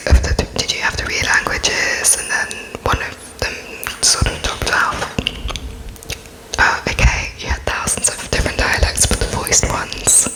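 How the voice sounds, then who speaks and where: Very slow, halting whispered ASMR interviewer. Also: sharp tone, poor audio. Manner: hesitant, halting phrasing as if dictating while writing. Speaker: interviewer noting down answers.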